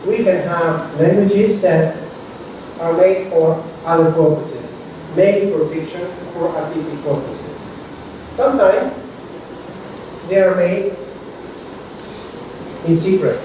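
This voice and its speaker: A man whose first language is Italian lectures a university seminar, patiently explaining and pausing to check his audience follows. His voice sits a little high. He is picked up from a distance in a very echoey room with a clear hiss of noise behind. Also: mellow. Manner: explanatory, checks in with "okay?", frequent restarts. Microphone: distant from the recording mic. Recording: very echoey room recorded from far off.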